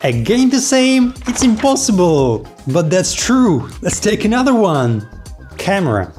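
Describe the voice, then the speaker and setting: A man's voice: sits high, big pitch swings, measured pace, a little mellow, soft background music. Playful young man vlogging. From Belarus. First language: Belarusian. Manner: playful, mock-astonished outbursts. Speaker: video creator. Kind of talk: casual vlog talk to camera.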